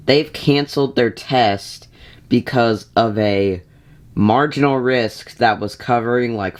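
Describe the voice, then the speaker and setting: A man giving casual commentary in a wry, mildly exasperated way, as a hobbyist narrating a video. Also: mid-range voice.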